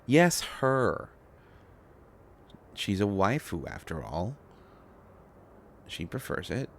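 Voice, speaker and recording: A man acting a shy, flustered, devoted inventor boyfriend in an intimate roleplay audio drama, talking in halting, stammering rambles. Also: fairly low pitch, big pitch swings, a little hiss or hum, heavily acted. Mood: playful, affectionate.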